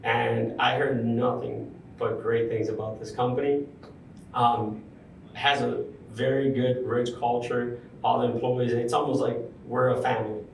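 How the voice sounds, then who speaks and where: Brief: young man, interview guest, halting and thoughtful, distant mic in a roomy space with faint ambience. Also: medium pitch, creaky, a little hiss or hum. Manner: thoughtful, frequent ums, restarts. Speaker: construction project superintendent, guest. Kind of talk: casual interview conversation. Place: large room at a university center.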